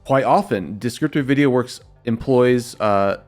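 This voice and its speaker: A young man with a slightly raspy voice, casually answering viewer questions on a livestream in a rambling, filler-heavy way. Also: medium pitch, unhurried, darkish tone, soft background music. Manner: rambling, loose, many fillers and repeats.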